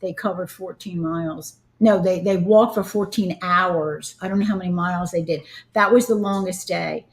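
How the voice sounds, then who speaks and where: A woman's voice: fairly low pitch, steady tone, moderate pace, hardly any pauses. A woman chatting casually while cooking, telling family stories and laughing easily. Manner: giggly, storytelling.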